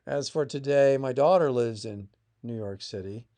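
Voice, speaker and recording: A man narrating a scripted piece of family history as its author, in a measured reading with emphatic phrase openings that fall away. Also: mid-range voice, dark tone. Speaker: author reading own essay.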